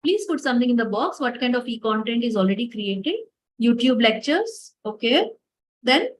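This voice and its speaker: A woman's voice: fairly high pitch, sing-song, normal speed. A woman giving an online lecture over a video call, earnest and addressing participants directly. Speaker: workshop lecturer.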